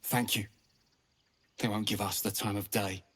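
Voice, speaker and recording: A man's voice: fairly low pitch, flat delivery, measured pace, a bit bright, slightly rough. Weary, worried father. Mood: weary, cautioning.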